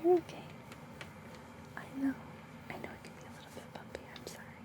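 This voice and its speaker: A woman doing an ASMR roleplay as a gentle guide, speaking in a soothing, reassuring, breathy whisper with unhurried pauses. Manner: soothing, reassuring personal attention; slow guided-relaxation delivery with pauses. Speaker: ASMR host playing a space travel guide.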